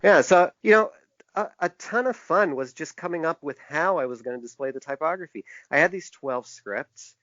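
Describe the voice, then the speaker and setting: A man speaking as a podcast guest in casual conversation, halting and thoughtful, often restarting his sentences. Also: on the high side, fairly bright tone, dry recording, dull audio. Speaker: podcast guest, author and publisher. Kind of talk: casual interview chat.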